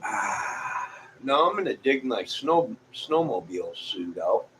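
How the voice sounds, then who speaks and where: A man's voice: medium pitch, sing-song, slow pace, fairly bright tone, rough recording. A middle-aged or older man with an American Midwestern accent, chatting to viewers in a folksy, meandering, casual way. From Illinois, United States. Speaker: vlogger. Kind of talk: rambling casual chat to viewers. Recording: clear background noise.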